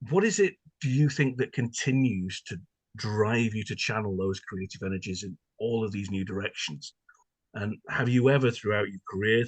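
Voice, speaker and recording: Husky lowish guy doing a podcast interview, wordy n polite, dry close sound. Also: no room sound, rough recording. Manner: wordy, mock-formal politeness. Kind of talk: conversational interview.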